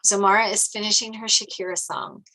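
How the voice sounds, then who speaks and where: A woman's voice: mid-range voice, a little fast, pushed and bright, rough recording. A woman reading screenplay stage directions as scripted narration.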